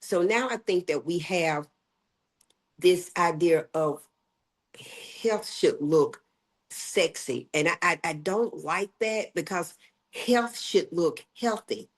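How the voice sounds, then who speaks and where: A woman's voice: lowish voice, lively intonation, takes it slowly. An older woman, a health educator, speaking emphatically as an interview guest. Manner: emphatic, acts out quoted voices. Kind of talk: conversational interview.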